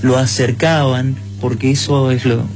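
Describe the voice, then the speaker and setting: A man co-hosting a radio show, speaking in a reflective, halting way as he searches for his words. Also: medium pitch, little pitch movement, darkish tone, soft background music. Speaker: radio co-host. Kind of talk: casual radio chat.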